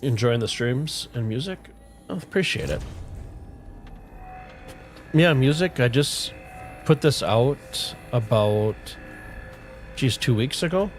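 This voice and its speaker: A middle-aged man chatting casually with viewers on a game livestream, relaxed and rambling, with a soothing voice. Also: mid-range voice, takes it slowly, long pauses. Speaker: streamer. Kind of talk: casual livestream chat while gaming. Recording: faint music playing underneath.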